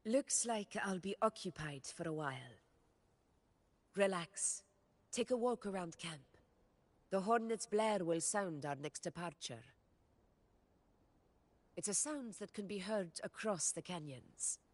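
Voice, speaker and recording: A woman playing a composed, solemn warrior leader with measured authority in a fantasy game. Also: lowish voice, animated, no echo. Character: composed, commanding female warrior leader briefing a hunt. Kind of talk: scripted fantasy game dialogue.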